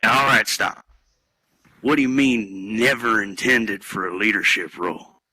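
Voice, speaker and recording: A man playing an embittered, haunted ex-firefighter in a dramatic script reading, with deliberate, halting delivery. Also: fairly low pitch, bright, forceful tone, breathy edge, exaggerated voice, rough recording. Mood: angry. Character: gruff embittered male ex-firefighter, haunted, angry at machines.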